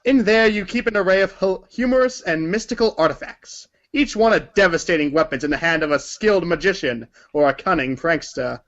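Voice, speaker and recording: A man's voice: high-pitched, a little fast, hardly any pauses, a bit bright. A man reading scripted narration for a read-along story performance, in a dull recording.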